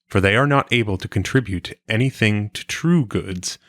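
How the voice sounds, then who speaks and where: Guy reading old philosophy like an audiobook, lowish voice, measured and formal, dry close sound. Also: fairly bright tone, dry recording. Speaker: reader of a philosophical text.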